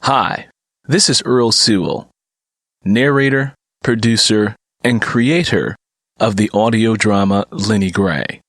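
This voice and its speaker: A man's voice: lowish voice, slow, fairly bright tone, no echo, studio quality. A man speaking as an audio drama's narrator and producer, addressing listeners in a scripted podcast style.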